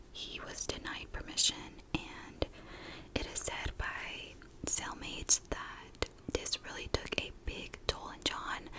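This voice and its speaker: A woman telling a true crime story in a breathy ASMR whisper, with a soft, informal manner. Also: poor audio. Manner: soft, unhurried, informal narration.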